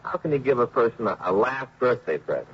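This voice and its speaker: A man acting an earnest, fretful married police officer in a 1950s radio crime drama, with a husky voice and a homely, repetitive way of talking. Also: medium pitch, very animated, fast talker, a little hiss or hum, lightly acted. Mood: earnest complaining. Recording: muffled old radio recording.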